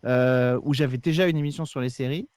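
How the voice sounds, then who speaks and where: A man's voice: mid-range voice, a little fast, a little mellow, no room sound, clean studio sound. A man talking casually and at length as an interview guest, explaining things as a podcast co-host. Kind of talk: casual conversational interview answers.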